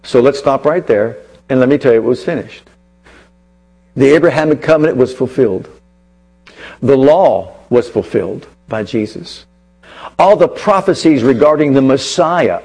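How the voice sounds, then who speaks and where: A man preaching a teaching sermon, emphatic and full of rhetorical questions, with sudden shifts between slow and rapid delivery. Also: a little high, lively intonation, darkish tone.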